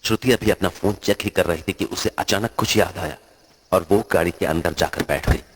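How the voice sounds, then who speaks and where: A man's voice: low-pitched, moderate pace, a little hiss or hum. A man narrating a scripted horror story.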